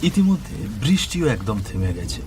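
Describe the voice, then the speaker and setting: Expressive male cartoon story narrator. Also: mid-range voice, fairly quick. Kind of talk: scripted horror cartoon storytelling. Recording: rain and thunder noise behind.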